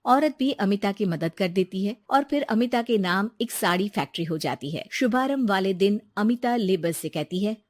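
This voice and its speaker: A woman narrating a cartoon story in an even, measured way on a close studio mic. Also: mid-range voice, no echo.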